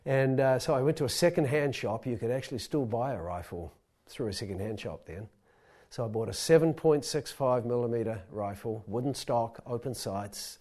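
A man's voice: medium pitch, moderate pace, hardly any pauses, warm tone. A middle-aged man with a New Zealand accent telling a rambling, self-deprecating personal story to a live audience.

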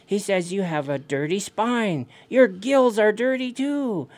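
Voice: medium pitch, animated, brisk, pitched above the performer's own voice, natural voice. A storyteller voicing a hungry, scheming raven trickster who calls out, coaxes and exclaims in a folk tale. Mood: goading, shouting.

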